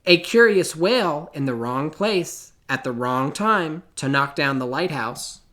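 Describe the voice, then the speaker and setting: Expressive man reading with lively, swooping pitch. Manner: expressive, theatrical storytelling with deliberate pauses. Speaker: picture book reader. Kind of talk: children's storybook read-aloud.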